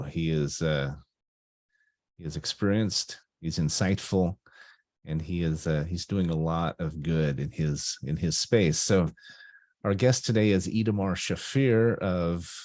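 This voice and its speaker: Deep-voiced talk show host, dry sound. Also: male voice, a little mellow, dry recording. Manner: enthusiastic, rambling, with many uhs and restarts. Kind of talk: talk radio interview. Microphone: video call.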